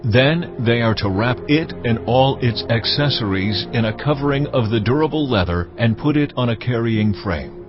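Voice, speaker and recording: A man playing God in a dramatized scripture reading, giving solemn commands in a measured, authoritative, formal way. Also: medium pitch, expressive, close to an everyday voice. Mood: solemn, commanding. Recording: slightly muffled, music underneath.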